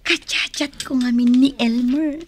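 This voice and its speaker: A woman's voice: high voice, fairly even intonation, measured pace. A woman with an Ilocano accent acting in a radio drama as an evasive young woman who makes excuses to her suitor. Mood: evasive, confiding. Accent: Northern Philippine, Ilocano.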